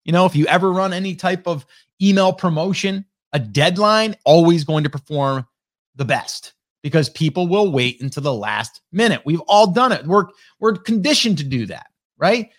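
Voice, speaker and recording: A man in his late forties gives an easygoing motivational coaching talk. His voice sits a little high for a man. He keeps asking his listeners "okay?" and "right?" as he goes. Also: expressive, normal speed. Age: 40s. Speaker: marketing coach hosting a coffee talk.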